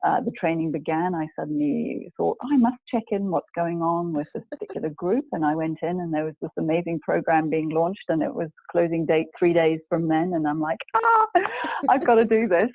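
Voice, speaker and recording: A woman in her forties from New Zealand, an executive coach telling her story as a guest, measured and pausing often to find her words. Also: on the low side, no echo. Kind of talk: personal storytelling in a live video chat. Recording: tinny, phone-like dry sound.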